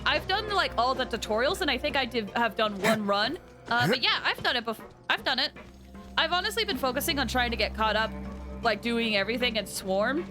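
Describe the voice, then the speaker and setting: A chatty woman game streamer with a fairly high voice. She rambles informally to her viewers, with game music clearly playing behind her. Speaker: game livestreamer. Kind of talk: casual chat with viewers while gaming.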